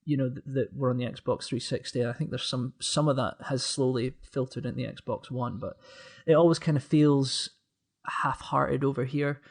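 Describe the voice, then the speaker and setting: A man's voice: medium pitch, fairly even intonation, moderate pace. A man chatting casually as a podcast panelist, often repeating words as he forms his point.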